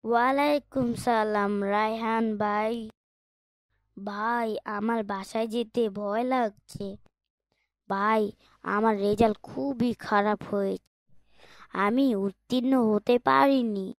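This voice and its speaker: A woman's voice: fairly high pitch, steady tone, slow, long pauses, dry recording. A woman voicing a timid, remorseful schoolboy in an Islamic cartoon, speaking meekly and politely. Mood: remorseful, afraid. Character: timid, remorseful schoolboy who failed exams, Sadik. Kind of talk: scripted Islamic cartoon dialogue.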